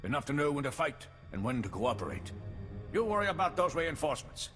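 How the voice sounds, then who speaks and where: An actor playing an anxious civilian official in a video game, earnest and placating in manner. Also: male voice, a little high, very animated, fairly quick, a bit bright, big-room sound, faint music behind. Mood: earnest, resigned. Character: anxious male civilian official, pleading and pragmatic appeaser. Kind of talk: scripted game acting.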